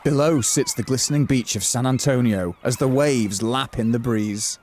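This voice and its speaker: Mid-pitched man narrating comic drama. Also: moderate pace, a little mellow, a little hiss or hum. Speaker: narrator of comedy audio drama. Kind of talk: scripted narration with casual asides.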